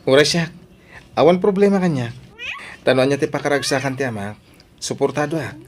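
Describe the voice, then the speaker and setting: A man's voice: mid-range voice, fairly quick, lightly acted. A man playing a supportive young adult son, polite and earnest, in Ilocano audio drama style. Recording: noisy home-made audio drama track.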